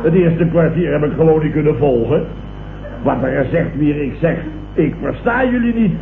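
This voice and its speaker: A blustering Dutch building contractor in an old radio comedy, grumbles sarcastically and full of self-importance. His voice sits a little high, on a thin, echoey recording. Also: animated, moderate pace, gravelly. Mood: indignant. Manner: grumbling, ranting, sarcastic, colloquial. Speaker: actor in a radio comedy serial. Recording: tinny, hissy old radio recording, roomy.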